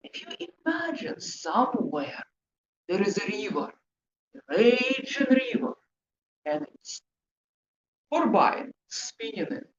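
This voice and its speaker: High-pitched non-native woman presenter, echoey room. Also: big pitch swings. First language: not English. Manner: emphatic, questioning, short deliberate phrases. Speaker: presenter, hypnotherapist. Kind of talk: interactive talk to a group. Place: room with an audience, indoors. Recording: echoey, muffled room recording with background noise.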